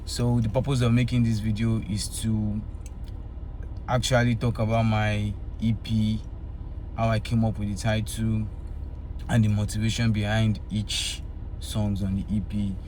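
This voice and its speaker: A man's voice: lowish voice, unhurried. Man chatting casually about his music. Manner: relaxed, rambling, asks "do you understand?". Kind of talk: casual talk to camera. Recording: faint background noise.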